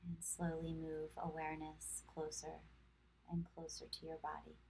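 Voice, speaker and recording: Female meditation guide, soothing and measured, extremely even in tone, unhurried pauses, light background noise on the recording. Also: deep voice, vocal fry, rough recording. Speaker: yoga instructor.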